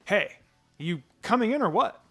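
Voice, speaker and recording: Man as a casual ninja sensei, older male mentor, raised lively pitch, encouraging, storybook character. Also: unhurried, husky, soft background music, exaggerated voice.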